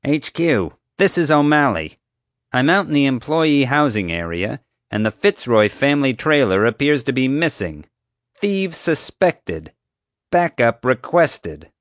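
A man's voice: mid-range voice, very animated, a little slow, no echo, studio quality, pitched above the performer's own voice. A man voicing a rude, pompous, dim-witted woman security officer in an audiobook, with an officious, accusatory way of talking. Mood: smug, bossy. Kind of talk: audiobook character voice.

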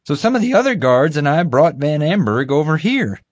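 A man voicing a bumbling, proud security guard in an audiobook, boastful, oblivious and excitable. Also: a little high, moderate pace, slightly rough, no echo, studio quality, pitched above the performer's own voice. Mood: defensive. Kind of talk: audiobook character voice.

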